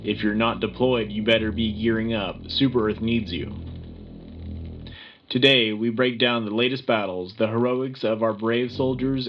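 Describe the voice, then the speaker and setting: A man's voice: mid-range voice, highs cut off, background noise, lightly acted. A man playing a rousing wartime radio anchor. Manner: dramatic, declamatory. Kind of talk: scripted propaganda news broadcast. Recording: music bed behind the voice.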